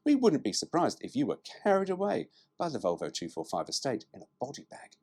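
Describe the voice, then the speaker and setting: A man's voice: medium pitch, huge pitch swings, brisk, a little mellow. A man reading a brochure aloud as a presenter, dropping in cheeky, irreverent, sweary asides.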